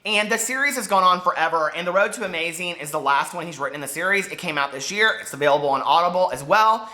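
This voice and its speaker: Bright, gushing man chatting to camera. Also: sits high, animated, quick pace, rough recording. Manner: gushing, digressive, peppered with fillers. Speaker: book vlogger. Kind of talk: casual chatty vlog to camera.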